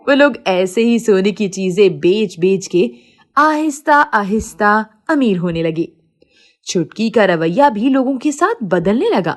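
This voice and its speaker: Female storyteller for kids' moral fables: slow, scripted read with wide, expressive pitch swings.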